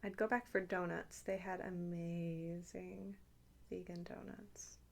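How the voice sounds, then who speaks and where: A woman's voice: fairly low pitch, level tone, slow pace, gravelly, slight background noise. A woman chatting casually and intimately to the listener as an ASMR host, with playful, teasing asides.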